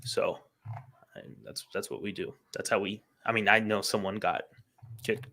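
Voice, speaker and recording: A young man in his late teens talks in a casual group discussion, pausing often with fillers and restarts. His voice sits low, with a bright, forceful edge. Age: young adult. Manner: hesitant, many fillers and restarts. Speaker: podcast guest.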